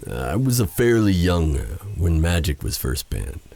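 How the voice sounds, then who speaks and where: A man playing a brooding, bitter exiled sorcerer with a tender side in an intimate ASMR roleplay drama, with a soft, halting delivery. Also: sits low, very animated, creaky, heavily acted. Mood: somber, reminiscing. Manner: halting, hesitant, sighing delivery.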